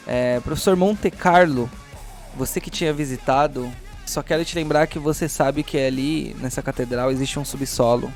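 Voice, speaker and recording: A man's voice: mid-range voice, moderate pace, a little mellow, faint music behind. A man narrating a tabletop roleplaying game as game master, improvising scenes and addressing the players.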